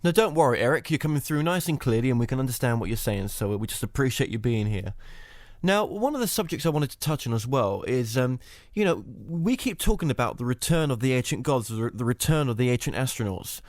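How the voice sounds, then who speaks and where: A man's voice: mid-range voice, expressive, fairly quick, dry recording, clean studio sound. A male host asking rambling interview questions full of "you know" fillers.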